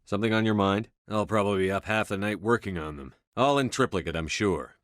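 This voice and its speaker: Video game military commander, male actor: low-pitched man, dry studio sound, resolute, measured, quietly authoritative. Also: no echo, natural voice. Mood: measured, wry. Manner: measured, firm, clipped military delivery. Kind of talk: scripted video game dialogue.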